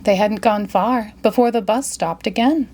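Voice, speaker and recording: A woman reading a children's picture book aloud in an expressive, dramatic storytelling style. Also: medium pitch, moderate pace. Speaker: storybook reader.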